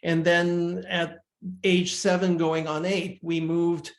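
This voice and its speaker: An older man from Uruguay, a musician guest, talking in a measured, reflective way with frequent restarts. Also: fairly high pitch, flat delivery. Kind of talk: casual interview talk. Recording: echoey room.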